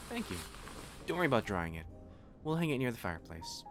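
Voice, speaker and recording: A man playing a calm, thoughtful catboy cafe waiter in an ASMR roleplay, talking gently and reassuringly to the listener. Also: mid-range voice, quick pace, lightly acted. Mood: welcoming. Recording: music behind the voice. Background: clear background music.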